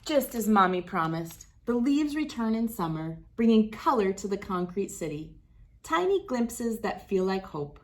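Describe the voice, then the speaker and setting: A woman hosting a read-aloud, narrating for children with expressive, deliberate phrasing. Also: medium pitch.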